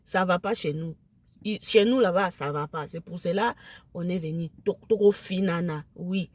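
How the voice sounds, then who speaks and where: A woman with a Lingala accent, a native Lingala speaker, talking casually to camera, emphatic and excited, switching into French. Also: on the low side, moderate pace. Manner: emphatic, excited, mixes French into her speech.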